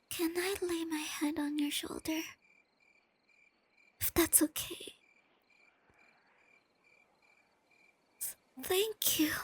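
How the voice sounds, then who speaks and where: A woman playing a shy, nerdy, tender young girlfriend in a soft-spoken ASMR roleplay, with a breathy near-whisper and hesitant, gently stammering delivery, crickets chirping behind her. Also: very high-pitched, no echo. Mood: flustered, shy. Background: crickets and night insects.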